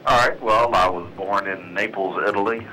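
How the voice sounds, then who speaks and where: A man, a jazz band leader, guesting on a radio talk show and speaking in a relaxed, easygoing way. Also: on the low side, moderate pace, a little hiss or hum, rough recording. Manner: relaxed, easygoing, many fillers. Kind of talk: casual radio interview.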